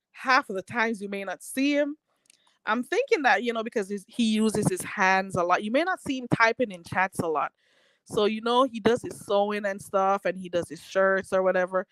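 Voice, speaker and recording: A woman's voice: mid-range voice, fairly bright tone, no echo, rough recording. Chatty woman livestreamer, dry close sound. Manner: rambling, filler-heavy, laughs often. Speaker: livestream host reading chat. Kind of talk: casual chat.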